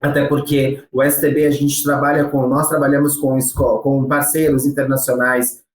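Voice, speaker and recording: A man's voice: mid-range voice, little pitch movement. Brazilian man in an echoey room. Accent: Brazilian Portuguese. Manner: measured, with frequent hesitation fillers. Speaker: guest from a study-abroad agency. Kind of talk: explanatory interview answers.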